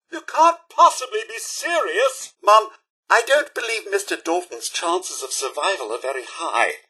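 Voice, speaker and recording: Loyal robot butler, video game. British, formal and deferential, high-pitched, swooping theatrical delivery. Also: male voice, bright, forceful tone, breathy edge, full character voice. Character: fussy, polite, loyal male robot butler. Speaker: voice actor. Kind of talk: video game companion dialogue.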